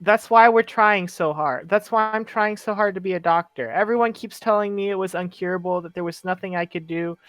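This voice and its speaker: A player voicing an earnest, frustrated teenage boy orphan in a tabletop roleplay, speaking haltingly in an everyday voice. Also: mid-range voice, a bit bright, dry recording, close to an everyday voice. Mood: frustrated, determined.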